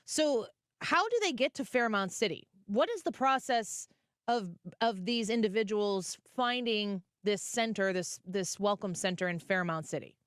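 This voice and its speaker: A woman's voice: mid-range voice, measured pace, smooth, dry recording. A woman hosting a talk radio show, asking probing, incredulous follow-up questions from her studio. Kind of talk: radio interview. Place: radio studio near St. Louis.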